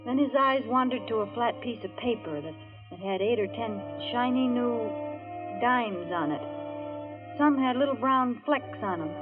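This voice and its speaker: A woman plays a sassy, rattled young dance hall hostess in a vintage radio thriller. Her voice is high and her talk slangy and hard-boiled. The old broadcast is thin and tinny, with music behind. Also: lively intonation, moderate pace, lightly acted. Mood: tense narrating. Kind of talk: 1940s radio noir acting.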